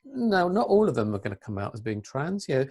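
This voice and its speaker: A woman with a deep voice and an English accent, speaking earnestly as an interview guest, with frequent restarts and fillers. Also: big pitch swings, brisk, darkish tone, husky. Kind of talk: interview conversation.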